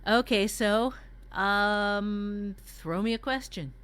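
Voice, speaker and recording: A woman's voice: medium pitch, takes it slowly, a bit bright, slight background noise. A woman co-hosting a writing workshop in casual brainstorming chat, teasing and prompting with questions. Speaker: workshop co-host and writer.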